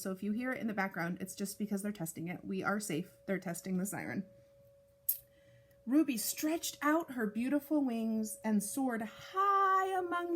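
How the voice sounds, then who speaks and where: A woman reading a picture book aloud to young children, with deliberate, expressive phrasing and casual asides. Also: fairly high pitch, slight background noise. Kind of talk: read-aloud storytime narration with casual asides.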